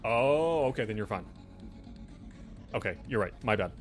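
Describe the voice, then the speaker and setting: A man's voice: lowish voice, animated, normal speed. Man running a tabletop game, music behind. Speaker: game master. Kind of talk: casual narration of a tabletop horror game.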